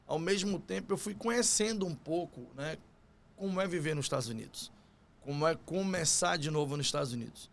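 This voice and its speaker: A man's voice: fairly high pitch, a little fast, vocal fry, a little hiss or hum. A man from Salvador, Brazil, with a Bahian accent, telling his story conversationally as an interview guest. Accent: Bahian Brazilian Portuguese. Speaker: podcast guest, construction business owner.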